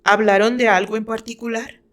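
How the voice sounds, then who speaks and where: A woman's voice: fairly high pitch, steady tone, a little fast, a bit bright, close to an everyday voice. A woman voicing a serious, suspicious male musician in a dramatized story, stiff and probing. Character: serious, suspicious man, a musician questioning his lover.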